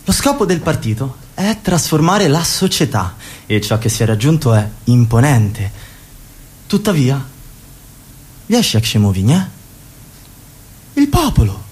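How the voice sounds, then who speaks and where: A radio drama party dictator, solemn and bossy, slow lecturing way of talking, medium pitch. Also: male voice, big pitch swings, a little mellow. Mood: doctrinaire. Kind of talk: radio theatre monologue.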